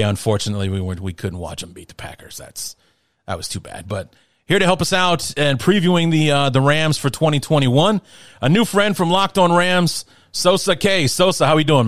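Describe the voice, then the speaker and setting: A man's voice: medium pitch, no room sound, clean studio sound. Blunt, outspoken male podcast host. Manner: blunt and opinionated, swears freely. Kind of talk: casual sports podcast talk.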